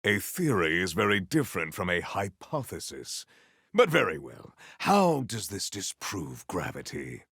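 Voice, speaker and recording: An actor playing a noble, earnest warrior hero in a video game, speaking in a pompous, formal and grandiose way. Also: male voice, deep voice, wildly swooping pitch, unhurried, vocal fry, a bit bright, husky, no room sound, clean studio sound, exaggerated voice. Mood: solemn, earnest. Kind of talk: video game character voice acting.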